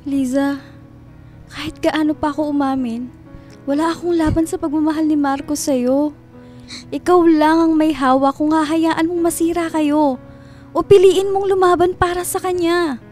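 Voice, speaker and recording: A woman's voice: high voice, fairly even intonation, a little mellow, lightly acted. A woman acting in a radio drama as an earnest friend confessing love for a married man. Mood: earnest, firm. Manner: earnest, deliberate. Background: background music.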